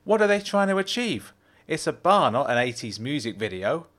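A man's voice: fairly high pitch, very animated, moderate pace, fairly bright tone, pitched above the performer's own voice. A man voicing a brash, bossy young woman student who fires off pushy, teasing questions.

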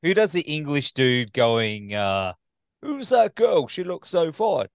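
A man interviews in a casual, matey style. His intonation moves up and down a lot around a mid-level pitch. The recording is dry, without much room sound. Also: big pitch swings, slow pace, gravelly, dry recording. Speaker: podcast interviewer.

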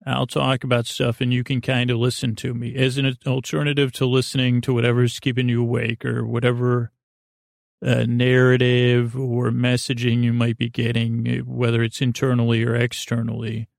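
A man hosting a bedtime podcast in a halting, meandering, comedic monologue that keeps circling back and drifting into digressions. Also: mid-range voice, monotone, vocal fry, no room sound, studio quality. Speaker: podcast host.

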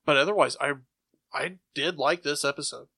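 A man's voice: fairly high pitch, moderate pace, pushed and bright, breathy edge, no echo, clean studio sound. A man co-hosting a podcast and giving his opinions in casual conversation. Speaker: podcast co-host. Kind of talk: casual chat.

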